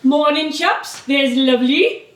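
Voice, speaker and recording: A woman's voice: sits high, measured pace. An actress playing a chatty, cheeky woman hauling a tin bath in a comic radio sketch. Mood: chirpy, chatty. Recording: echoey theatre stage.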